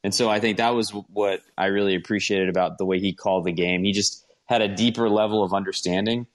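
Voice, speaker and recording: Male co-host for sports talk, lowish voice, loose and conversational, tends to ramble. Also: fairly even intonation, fast talker. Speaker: podcast co-host. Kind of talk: casual sports chat.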